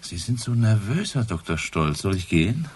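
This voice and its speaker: A man playing a gruff, probing police inspector in a radio crime drama, speaking curtly. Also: lowish voice, sing-song, moderate pace, a little hiss or hum. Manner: curt, gruff.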